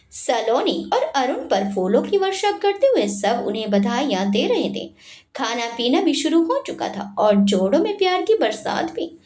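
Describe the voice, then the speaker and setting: A woman narrating a romantic story in an expressive, read-aloud way. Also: fairly high pitch, echoey room.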